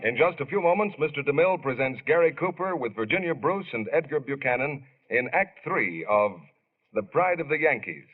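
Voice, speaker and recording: A man's voice: a little high, expressive, fairly quick, soft background music. A man announcing and reading ads in polished, emphatic 1940s radio style. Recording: muffled old radio recording.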